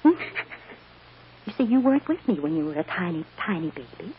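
An actress playing a tender, earnest mother in an old radio drama, speaking with soft, coaxing emotion. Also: on the low side, sing-song, fairly quick. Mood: gently explaining. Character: tender, earnest young mother reassuring her adopted daughter. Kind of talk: old-time radio soap drama acting. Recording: muffled, noisy old radio recording.

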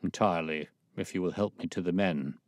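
Casting: man to play hearty, self-important policeman in a storybook, deep voice, emphatic, dry recording. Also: fairly quick, darkish tone, no room sound, lightly acted. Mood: businesslike.

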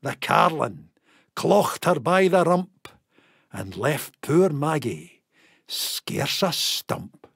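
A man with a Scottish accent giving a theatrical, expressive dramatic recitation of a narrative poem. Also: a little high, no echo, clean studio sound. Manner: theatrical, expressive storytelling delivery. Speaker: poetry reader.

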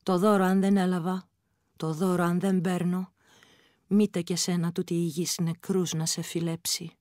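A woman playing a defiant widow who curses in hushed, incantatory verse for a radio drama. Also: lowish voice, flat delivery, dry recording, studio quality, natural voice. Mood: grim, cursing.

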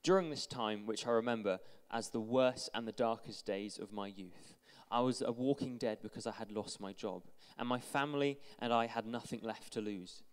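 Young man, earnest steady reading, smooth resonant voice, handheld mic, long church-hall echo. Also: mid-range voice, normal speed, few pauses. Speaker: church member reading a friend's testimony. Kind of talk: reading a testimony aloud. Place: large church hall with stage.

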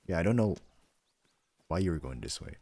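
A young man with an extremely low, bassy voice rambles in a flat, deadpan way while he plays. The sound is dry and close, with no room around it. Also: a little fast, no echo. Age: young adult. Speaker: streamer. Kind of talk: casual chat while gaming. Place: home room, seated.

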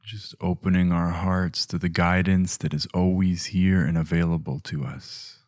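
A man leading a guided meditation in a gentle, hushed delivery, leaving long pauses between short phrases. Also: deep voice, level tone, no room sound, studio quality. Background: music.

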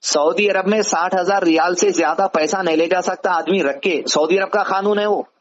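A man's voice: high-pitched, moderate pace. A man with a Hyderabadi accent explaining things didactically on a phone call, often asking rhetorical questions. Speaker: advisor answering a caller. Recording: dull lo-fi phone call recording.

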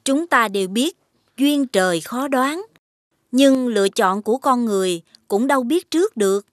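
A woman voicing a gracious fairy lady in an animated fairy tale. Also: a little high, normal speed, dry recording, close to an everyday voice. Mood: gracious, formal.

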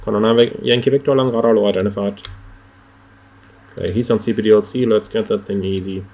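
A man's voice: lowish voice, steady tone, fairly quick, a little mellow. A man giving clipped, procedural radio instructions as a flight simulator air traffic controller, with brief asides to viewers.

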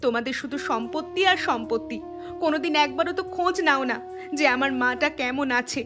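A woman voicing a young wife and daughter in a cartoon, insistent and quick-tempered, with music behind. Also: high voice, close to an everyday voice. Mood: scolding.

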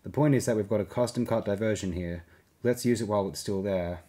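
A confident male opportunist in an audiobook, played by a male narrator. He speaks briskly and informally, urging his comrades along. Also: on the low side, warm tone, natural voice. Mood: eager.

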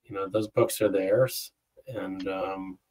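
Low husky man on a video call. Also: level tone, slow pace, a little mellow. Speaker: interview guest, company founder. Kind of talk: conversational explaining. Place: indoor room on a video call. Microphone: wireless earbuds. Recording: slightly distant video-call sound.

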